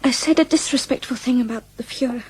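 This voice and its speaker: An actress playing a meek, frightened young maid in a radio drama, speaking submissively and hesitantly. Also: female voice, fairly high pitch, fast talker, darkish tone, husky. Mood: meek, nervous.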